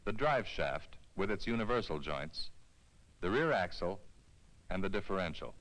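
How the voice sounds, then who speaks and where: A man reading a scripted instructional film narration in a clear, measured, explanatory way, as on a 1970s educational film soundtrack. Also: on the low side, a bit bright, low-quality recording.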